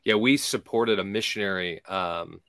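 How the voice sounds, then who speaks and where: A man's voice: low-pitched, normal speed, smooth, no echo. Easygoing man hosting a video call chat. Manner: relaxed, filler-heavy, easygoing. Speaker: host. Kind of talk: casual interview chat. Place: home room. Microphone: video call, webcam.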